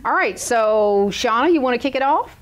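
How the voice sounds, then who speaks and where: A woman's voice: on the high side, expressive, moderate pace, a little hiss or hum. A woman in her fifties hosting a talk show, gushing with praise and enthusiasm as she leads the conversation. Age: 50s. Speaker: show host.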